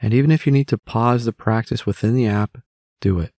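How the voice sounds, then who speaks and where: A man narrating a guided meditation in a measured way, with long pauses between phrases. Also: medium pitch, a little mellow, no echo, studio quality.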